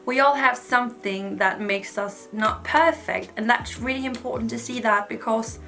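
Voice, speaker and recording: Girl in her 20s vlogging, kinda high voice, chatty and frank, bit of music in the back. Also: a bit bright. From Denmark. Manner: chatty, frank, many "like" fillers. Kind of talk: casual advice talk to camera.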